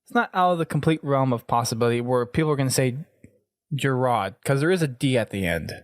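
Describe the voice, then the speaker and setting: A man's voice: mid-range voice, animated, quick pace, no room sound, clean studio sound. A man speaking casually as the host of a gaming podcast.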